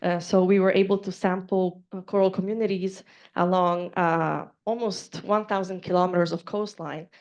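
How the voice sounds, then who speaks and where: A woman from Costa Rica giving an academic seminar talk, earnest and halting, with many fillers and restarts. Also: lowish voice, fairly even intonation, a little mellow. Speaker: seminar speaker. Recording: dull lo-fi lecture recording.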